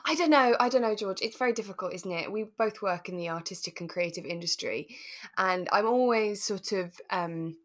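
A woman co-hosting a podcast in relaxed, casual chat, talking in a chatty, thoughtful way with plenty of qualifying. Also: medium pitch, a little fast. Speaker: podcast co-host. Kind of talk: relaxed casual chat reviewing a radio drama.

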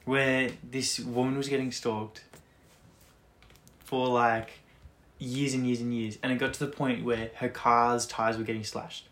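A man's voice: mid-range voice, little pitch movement, unhurried, fairly bright tone. A young man in a casual, rambling chat with a friend as a podcast co-host. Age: young adult.

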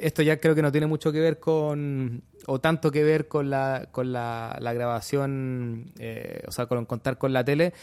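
A man's voice: fairly high pitch, darkish tone. A man, a storyteller talking casually on a panel, hesitant with many restarts. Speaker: storyteller guest.

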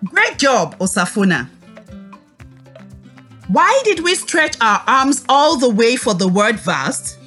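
A woman teacher leading a scripted read-aloud for young children, speaking deliberately and expressively and prompting them to repeat. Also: a little high, very animated, a bit bright, faint music behind.

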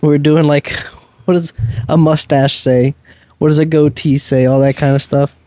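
A man co-hosting a podcast, teasing his friend with deadpan jabs. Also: on the high side, moderate pace, no room sound. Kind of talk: casual podcast banter.

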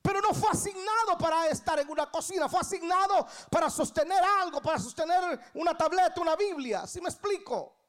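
A woman's voice: very high voice, lively intonation, brisk, hardly any pauses. A woman in her thirties with a Guatemalan Spanish accent preaches a sermon, emphatically repeating key phrases and putting questions to the congregation. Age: 30s. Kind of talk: church sermon.

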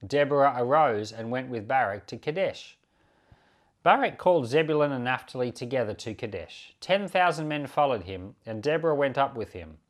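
A man's voice: medium pitch, animated, moderate pace. A man speaking as a pastor, teaching from the Bible and reading scripture aloud to listeners. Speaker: pastor and podcast host.

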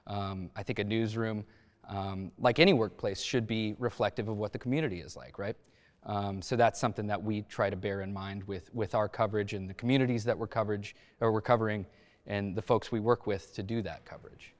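A man speaking as a journalist on a discussion panel, feeling out his phrases in a halting, measured way. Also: lowish voice, fairly even intonation. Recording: long echoing reverb.

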